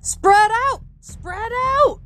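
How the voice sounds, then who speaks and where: A woman's voice: high voice, lively intonation, slow, a bit bright, exaggerated voice. A woman voicing a frantic man in a violent street chase in a storybook, with urgent, exclamatory cries. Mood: urgent, commanding.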